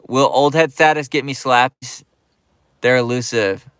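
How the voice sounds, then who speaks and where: A man's voice: mid-range voice, unhurried, fairly bright tone, clear, resonant tone, dry recording, clean studio sound. A man hosting his skateboarding channel's livestream, reading viewer comments aloud and chatting back in a laid-back, filler-heavy way. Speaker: YouTube skate channel host reading live chat. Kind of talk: casual livestream chat.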